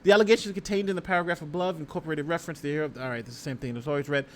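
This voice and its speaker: Male livestream host, lawyer type: slightly high voice, conversational and emphatic legal commentary, reads aloud and reacts, some background noise. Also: steady tone, rapid-fire. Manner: conversational, emphatic, repeats phrases and talks directly to viewers. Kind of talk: casual live legal commentary, reading a document aloud and reacting.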